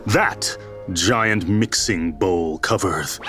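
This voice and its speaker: A man's voice: sits low, animated, very slow, over loud music, full character voice. A man playing a fussy, scheming villain general in a table read of an audio drama. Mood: impatient scheming.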